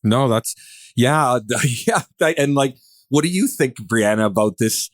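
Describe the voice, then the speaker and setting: A man hosting a podcast, posing questions in a casual, rambling way with halting, restarted phrases. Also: medium pitch, animated, dry recording, clean studio sound. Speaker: podcast host.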